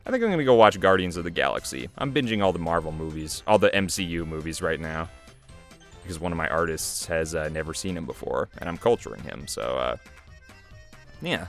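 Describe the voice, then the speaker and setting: A man's voice: low-pitched, lively intonation, fairly quick, creaky, a bit bright, faint music behind. A young man, a web series creator and voice actor, giving casual, chatty, joking video commentary.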